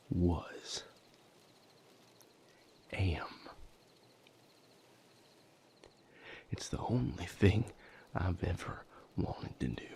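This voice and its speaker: A man playing a weary, wounded vampire healer in an intimate audio roleplay, speaking in a breathy, gravelly, halting and earnest way. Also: sits low, a little hiss or hum, heavily acted. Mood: uncertain, resolving, then pained, earnest. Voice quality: breathy, gravelly, half-whispered. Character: weary, wounded male vampire healer leaving his house.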